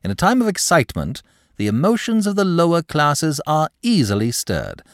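Male reader as impudent young gent, storybook clerk. Silky over-politeness, very animated intonation, dry sound. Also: a little high, moderate pace, dry recording, voice pushed up. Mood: mock sorrow. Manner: flowery, ingratiating, over-polite speech. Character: suave, impudent young gentleman clerk, mock-courteous and unflappable. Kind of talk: audiobook character dialogue.

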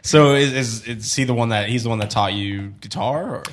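A man's voice: mid-range voice, heavy background noise. A man hosting a casual chat, easygoing, who often chips in with short agreements and asks follow-up questions. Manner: easygoing, quick affirming interjections. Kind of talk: casual conversation.

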